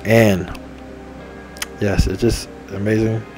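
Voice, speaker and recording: Young man in his twenties, low voice, upbeat rambling gamer talk, faint game music under it. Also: level tone, slow. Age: 20s. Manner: repetitive, upbeat, enthusiastic shout-outs. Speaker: gaming channel host. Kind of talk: rambling casual monologue over game menu. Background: faint game menu music.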